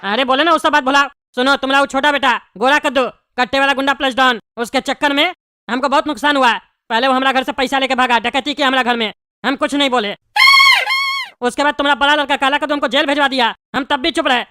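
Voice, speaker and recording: A high-voiced performer playing a hot-tempered, scheming bald father in jail, scolding in Bihari-style Hindi for a comedy cartoon. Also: steady tone, quick pace, close to an everyday voice. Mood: insistent. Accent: eastern Indian (Bihari-style) Hindi. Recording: clearly noisy background.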